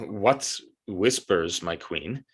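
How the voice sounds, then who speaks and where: A man playing a flattering, deferential court artist who speaks in a formal, halting way in an audio drama. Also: deep voice, slightly rough, heavily acted. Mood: guarded. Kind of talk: theatrical audio drama acting.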